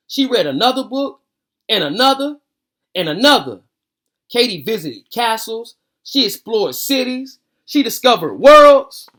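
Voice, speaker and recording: A man's voice: high-pitched, fairly bright tone, roomy sound, recorded from a distance. An American man hosting bedtime stories for children, reading aloud and chatting in casual, slangy speech. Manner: informal slangy talk. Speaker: children's bedtime story host and read-aloud reader. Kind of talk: casual storytelling and chat aimed at kids.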